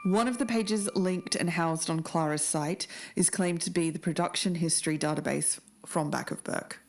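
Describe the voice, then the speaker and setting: A woman's voice: lowish voice, normal speed. An Australian woman in her thirties co-hosting and presenting a podcast. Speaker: podcast co-host.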